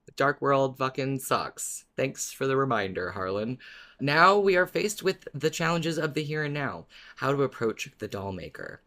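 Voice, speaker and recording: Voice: medium pitch, animated, normal speed, a bit bright. A podcast co-host talking informally and expressively about a story, as a fan would. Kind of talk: casual fan discussion, partly reading a written recap.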